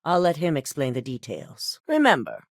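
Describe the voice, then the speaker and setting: An actress voicing a calculating corporate executive who hands out missions in a video game, speaking in a composed, clipped, authoritative way. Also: female voice, deep voice, wildly swooping pitch, moderate pace, gravelly, dry recording, clean studio sound, heavily acted. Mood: cold. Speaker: corporate boss giving missions.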